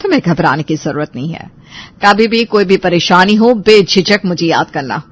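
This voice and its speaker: A woman's voice: lowish voice, expressive, moderate pace. A woman playing a tough, protective lawyer elder sister in an audio story, assertive yet reassuring. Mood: proud, reassuring. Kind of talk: Hindi audio story acting.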